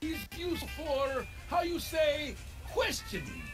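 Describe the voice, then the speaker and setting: Bosco, a blustering fake-Russian shopkeeper. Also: male voice, very high-pitched, very animated, slow pace, exaggerated voice. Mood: grandiose. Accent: put-on Russian. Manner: broken grammar, grandiose propaganda boasts. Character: scheming male shopkeeper faking a Russian accent. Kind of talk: video game voice acting. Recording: game audio under music.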